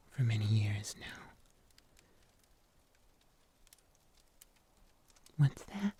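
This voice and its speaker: A man playing a gentle, solicitous huntsman healer in an ASMR roleplay, in a soft whisper with careful pauses. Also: mid-range voice, husky, heavily acted. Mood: shy, gentle. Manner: slow, hesitant, careful delivery with long pauses. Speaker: ASMR performer.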